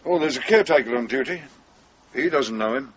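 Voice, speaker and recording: An actor playing a deferential uniformed constable in a naturalistic radio drama, hesitant and subdued. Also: male voice, medium pitch, expressive, a little fast, natural voice. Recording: dull radio recording, faint ambience.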